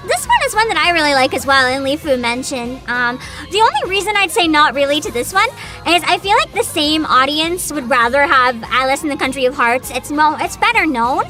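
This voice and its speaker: Female VTuber host, very high-pitched and bright, animated and expressive casual chat over background music. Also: brisk. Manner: giggly, excitable, expressive.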